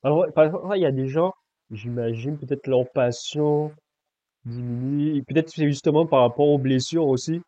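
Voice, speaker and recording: Casting: male podcast host, mid-pitched, hesitant and agreeable questioning, dry close mic. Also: moderate pace, no room sound, muffled recording. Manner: soft-spoken, agreeing often, hesitant questions. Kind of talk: casual interview chat.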